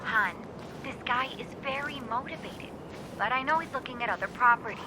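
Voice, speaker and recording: An actress playing a pushy, familiar real estate agent on a phone call in a video game, persistent and persuasive. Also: female voice, fairly high pitch, lively intonation, brisk, noisy background. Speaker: realtor.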